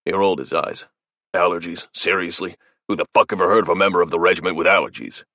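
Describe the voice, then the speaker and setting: A man voicing a tough male soldier boss, gruff, profane and commanding. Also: low-pitched, steady tone, fast talker, bright, forceful tone, no room sound, full character voice.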